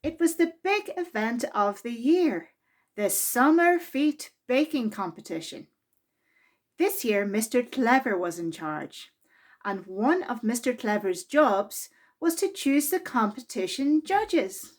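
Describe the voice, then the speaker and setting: Lady doing kids storytime, high pitched voice, really slow and expressive, draws out the important words. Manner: expressive, deliberate, drawn-out emphasis on key words. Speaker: librarian reading a children's storybook aloud. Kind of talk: children's storytime narration.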